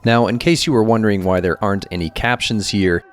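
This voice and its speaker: A man from the United States narrating his travel video in a calm, measured, scripted voice-over. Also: lowish voice, over a noisy crowd, very noisy. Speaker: travel vlogger. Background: background music.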